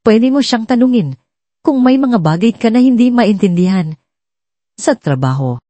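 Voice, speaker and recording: A woman's voice: fairly high pitch, lively intonation, normal speed, dark tone, dry recording, voice pushed up. A Filipino woman voicing a commanding, brusque male company boss in a story drama. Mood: businesslike, commanding. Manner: brusque, bossy, mixes English into orders.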